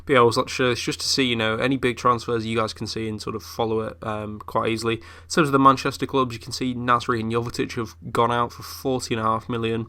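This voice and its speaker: A man's voice: medium pitch, little pitch movement, fast talker, hardly any pauses, pushed and bright, clean tone. A young man who is ill, chatting casually through game commentary with lots of fillers. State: ill, voice a bit off. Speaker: gaming YouTuber.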